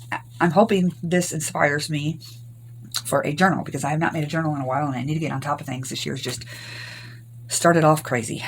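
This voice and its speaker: An older woman from Arkansas, hoarse from recent illness, chatting through a rambling crafting tutorial. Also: sits low, slight background noise. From Arkansas, US South. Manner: rambling, homey, many ums. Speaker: craft channel host.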